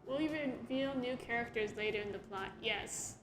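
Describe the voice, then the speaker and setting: A young woman with a slightly high voice chats casually while she draws, reading out questions and laughing at herself often. Her voice rings in an echoey room, with faint music playing underneath. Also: moderate pace. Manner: laughs often, self-deprecating, reads out viewer questions. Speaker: webcomic artist answering chat questions. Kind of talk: casual livestream chat. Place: home room while drawing.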